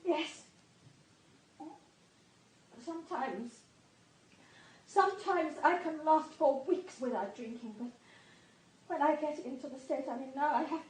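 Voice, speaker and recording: An actress playing a fragile, distraught countess of thirty-nine in a radio drama, halting, emotional and apologetic. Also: female voice, high voice, a little mellow, a little hiss or hum, rough recording. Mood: tearful. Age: 30s.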